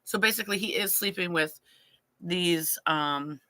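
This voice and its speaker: A woman's voice: on the low side, measured pace, bright, forceful tone, dry recording. A woman in her thirties hosting a live talk panel and asking candid, probing questions. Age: 30s. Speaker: host.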